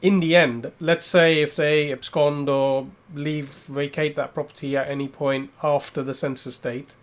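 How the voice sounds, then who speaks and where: A man's voice: on the high side, flat delivery. Man moderating a webinar Q&A. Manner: measured questions with many "um"s and "uh"s.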